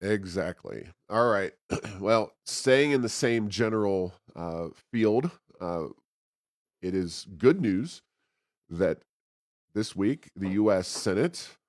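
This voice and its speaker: A man with a deep voice hosts a policy discussion. He phrases things deliberately and haltingly, pausing often as he works through his points. The sound is dry, with very little room in it. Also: animated, no echo. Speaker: podcast host. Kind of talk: political policy commentary in conversation.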